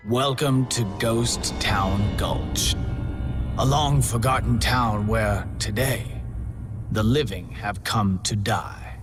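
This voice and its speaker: Slow, courteous, sinister contest master. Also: male voice, on the low side. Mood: coolly ominous. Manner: measured, polite, ominous. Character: courteous, sinister male contest master, mockingly solicitous, menacing. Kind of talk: video game cutscene narration. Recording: loud music under the voice.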